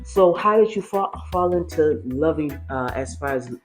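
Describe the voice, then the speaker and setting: A man's voice: a little high, expressive, moderate pace, soft background music. An older man hosting a casual cooking chat, asking friendly questions with lots of fillers and affirmations. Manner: warm and curious, with frequent fillers and affirmations. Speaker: host.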